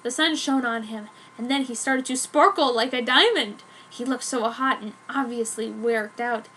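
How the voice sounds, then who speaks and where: A woman's voice: fairly high pitch, moderate pace, sharp tone, a little hiss or hum. A woman giving a theatrical, mock-earnest dramatic reading of fan fiction as narrator.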